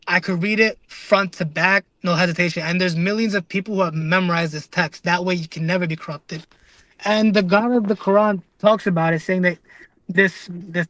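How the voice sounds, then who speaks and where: Young male debater with a high voice and dry sound; earnest and casual, talks in restarts and keeps asking "right?". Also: a bit bright, no echo, poor audio. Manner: casual slang, calls listener "bro".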